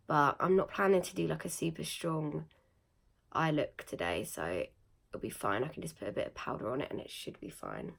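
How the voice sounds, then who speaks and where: A young woman with a croaky, slightly nasal voice after being ill, chatting casually through a makeup tutorial with lots of fillers. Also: sits low. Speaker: beauty vlogger.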